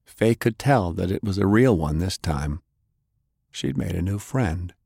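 Male audiobook narrator, low voice, very dry close sound, telling action fiction. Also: lively intonation, measured pace, creaky, warm tone, slightly rough, dry recording.

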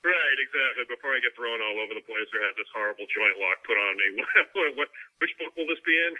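A man's voice: medium pitch, fast talker, sharp tone. A man chatting casually as an interview guest, talking in a rambling, conversational way with hesitant phrasing. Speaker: interviewed fantasy novelist and martial artist. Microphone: telephone. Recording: tinny narrowband phone line.

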